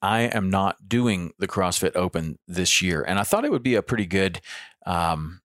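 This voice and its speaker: A man's voice: low-pitched, sing-song, normal speed, fairly bright tone, no room sound, studio quality. A man in his fifties hosting a podcast, talking casually on his own with frequent ums and restarts. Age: 50s. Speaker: podcast host. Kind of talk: casual solo podcast monologue.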